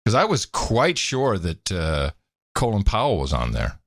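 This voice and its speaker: A man co-hosting a talk podcast, wry and quick with sarcastic asides. His pitch swings widely as he talks, and the sound is dry and close. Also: dry recording. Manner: wry, animated, reads names and headlines aloud with asides. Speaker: podcast co-host. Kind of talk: casual political banter.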